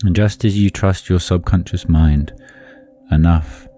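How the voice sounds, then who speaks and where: A man leading a guided meditation in a soothing, deliberate manner, leaving long pauses between phrases. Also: low-pitched, fairly even intonation, mellow, soft background music.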